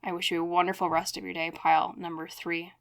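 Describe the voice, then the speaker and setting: A woman with a fairly low voice speaks as a tarot reader. Her delivery is casual and conversational, wandering through reflective thoughts as she addresses her viewers directly. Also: little pitch movement, quick pace, gravelly, a little hiss or hum. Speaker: tarot reader and channel host.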